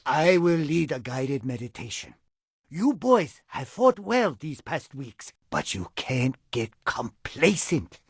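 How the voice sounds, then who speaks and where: An aging, eccentric rat sensei from an animated game, husky and full of gravel. He teaches in a slow, theatrical way, like a father instructing his sons. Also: medium pitch, dry recording, studio quality, full character voice. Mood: calm guiding. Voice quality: raspy, gravelly. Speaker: martial arts teacher.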